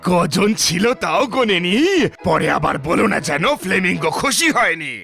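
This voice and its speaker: A performer voicing a sulky, self-important cartoon flamingo with a creaky voice and deliberate delivery. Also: medium pitch, expressive. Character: sulky cartoon flamingo who refers to itself by name. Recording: music behind the voice.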